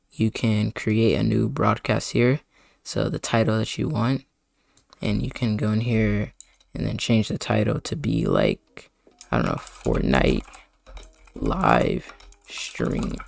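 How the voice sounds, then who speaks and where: A man talking through a software setup in a loose, unscripted way at his computer mic, with frequent fillers and sentences that trail off. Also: on the low side, gravelly. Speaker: streamer giving a tutorial. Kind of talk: casual screen-recording walkthrough. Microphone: desktop mic at a computer.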